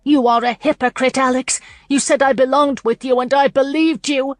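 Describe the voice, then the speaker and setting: A woman's voice: a little high, steady tone, brisk, no echo, lightly acted. Lady reading as a desperate young woman in love, raised voice, pleading and fierce, dry close sound. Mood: anguished anger. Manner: impassioned, pleading, tearful outbursts. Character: young woman, heartbroken and defiant lover. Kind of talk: audiobook character voice.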